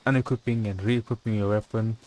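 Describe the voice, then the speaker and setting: Low-voiced man casually commentating gameplay. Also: steady tone, fairly quick, a little mellow, slight background noise, rough recording. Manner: casual, muttering thoughts aloud. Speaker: video game streamer.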